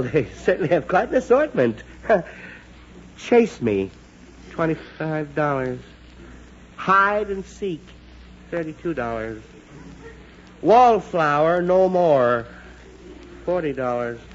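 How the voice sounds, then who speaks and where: A man playing a flustered, blustering middle-aged father in a 1940s radio comedy, stammering and exclaiming. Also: a little high. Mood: musing. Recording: muffled, noisy 1940s radio recording.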